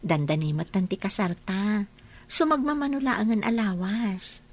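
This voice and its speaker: A woman playing a gentle, practical bride-to-be in an Ilocano radio drama, speaking in an affectionate, fussing way. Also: mid-range voice, lively intonation, a little slow. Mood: affectionate, teasing.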